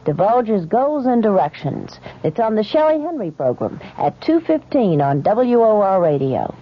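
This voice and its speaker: Woman doing radio promo, very lively intonation, dull lo-fi old broadcast. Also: mid-range voice, a little slow, background noise. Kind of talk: scripted programme promo.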